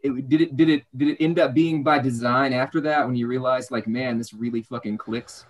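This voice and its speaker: A male podcast host poses long, meandering questions and restarts himself often. His voice sits at an everyday middle pitch. He sounds conversational and unhurried. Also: fairly even intonation. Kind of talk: interview questions.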